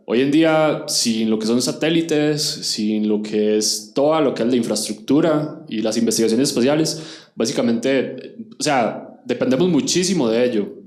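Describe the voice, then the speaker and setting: A man's voice: mid-range voice, a little mellow, echoey room. A man from Costa Rica with a Costa Rican accent, giving an explanatory conference talk directly to his audience. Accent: Costa Rican Spanish. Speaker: conference speaker.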